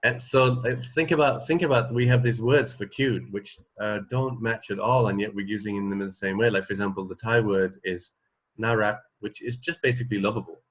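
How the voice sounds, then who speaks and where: Male radio co-host, low register, casual and conversational, halting as he works through his thoughts. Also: steady tone, breathy edge. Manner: halting, thinking aloud. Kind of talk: casual chat.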